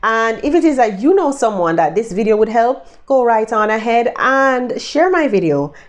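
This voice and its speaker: Lively Jamaican woman vlogger. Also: a little high, moderate pace. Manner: chatty, upbeat, addresses viewers directly with endearments. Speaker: vlogger giving freelancing tips. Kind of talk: casual tutorial to viewers.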